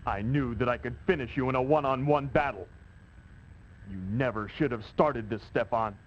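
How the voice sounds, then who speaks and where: An actor playing a grave, resolute rebel warrior in a video game, with solemn, deliberate delivery. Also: male voice, mid-range voice, highs cut off, close to an everyday voice. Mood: reproachful. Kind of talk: dramatic video game cutscene acting. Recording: noisy 1990s game audio.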